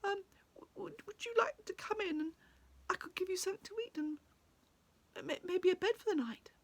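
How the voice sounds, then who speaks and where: A woman storyteller voicing a timid, apologetic cottage woman who is always crying, halting and stammering as she talks. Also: extremely high pitch, pitched above the performer's own voice. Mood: timid, tearful.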